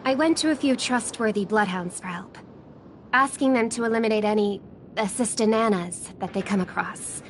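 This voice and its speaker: A woman's voice: mid-range voice, moderate pace, a little hiss or hum, lightly acted. A woman playing a poised, diplomatic young leader in a video game, polite and composed. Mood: composed, concerned.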